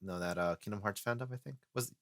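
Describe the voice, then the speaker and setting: A man's voice: fairly low pitch, fast talker, a bit bright. A man hosting a casual podcast chat about voice casting, rambling with lots of "you know" fillers. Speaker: host and casting director.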